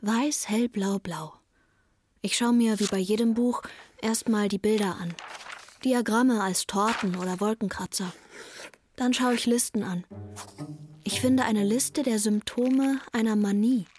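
An actress playing a sharp-witted teenage daughter who narrates a radio play with dry, sardonic asides. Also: female voice, mid-range voice, steady tone, measured pace, a little hiss or hum, lightly acted. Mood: wry, sardonic. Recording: music behind the voice.

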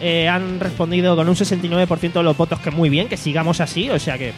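A man's voice: a little high, fairly even intonation, fast, over music. A man hosting a casual podcast, reading out news and poll results in a playful, joking way. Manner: playful, joking, reads the segments. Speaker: podcast host. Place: studio away from home.

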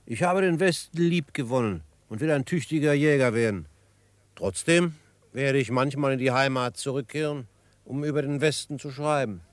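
A male actor plays a confident young frontiersman in a radio play. He speaks at a medium pitch, composed and measured, with a gentle teasing edge. The recording is dry and close. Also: no room sound, close to an everyday voice. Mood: calm. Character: calm, confident young greenhorn frontiersman with writing ambitions. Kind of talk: German radio drama acting.

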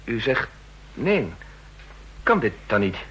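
A man's voice: medium pitch, sing-song, slow, a bit bright, slightly rough, heavily acted. An actor playing an earnest, worried male official seeking help in a radio drama. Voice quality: earnest, anxious. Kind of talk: vintage radio drama acting.

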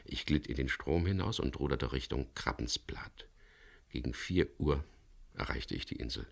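Wry, tough skipper, radio crime drama lead; extremely low, creaky voice, narrates in laconic, measured fashion. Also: male voice, full character voice. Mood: tense, matter-of-fact.

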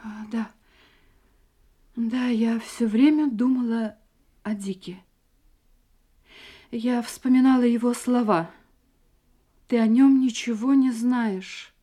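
An anxious young wife in a radio drama, her voice fairly high but soft and mellow. She often drops into agitated whispers as she talks to herself. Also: steady tone, takes it slowly, pauses often. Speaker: heroine and narrator of a radio play. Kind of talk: radio play acting.

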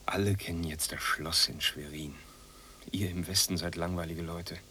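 An actor playing a sardonic, restless young East German loner in a radio drama, speaking in a clipped deadpan. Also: male voice, sits low, normal speed, slight background noise. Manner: clipped, deadpan, asks and answers himself. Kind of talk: radio drama acting, part inner monologue.

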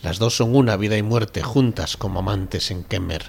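A man's voice: fairly low pitch, moderate pace, creaky, breathy edge. A man co-hosting a radio show, talking casually about books and quoting from them.

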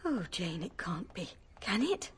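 An actress playing a gentle, anxious, hesitant lady with a breathy voice in a radio drama. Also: mid-range voice, sing-song, unhurried, heavily acted.

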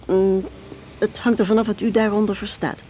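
A woman's voice: mid-range voice, level tone, moderate pace, dark tone, slight background noise, natural voice. An actress in a Dutch radio drama playing a curt, stubborn working mother who defiantly guards her son. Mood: proud, defiant. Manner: brusque, stubborn, dismissive.

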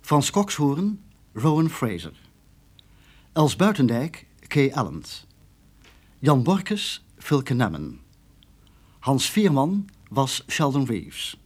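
A man reading scripted closing credits as a radio drama announcer, formal and deliberate. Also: medium pitch, huge pitch swings, slightly rough.

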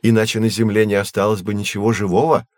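An actor playing a learned, patient astronomy professor who explains things in a measured, didactic way in an educational audio drama. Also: male voice, fairly low pitch, flat delivery, dry recording, close to an everyday voice. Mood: patient explaining. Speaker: teacher.

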